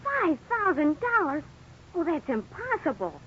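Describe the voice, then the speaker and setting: An anxious boy in a 1950s radio drama, earnest and faltering. The voice is high and very animated, on a dull old recording. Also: unhurried, full character voice. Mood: worried, dismayed. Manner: hesitant, stammering, earnest. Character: Vicky, anxious young son in trouble after a crash.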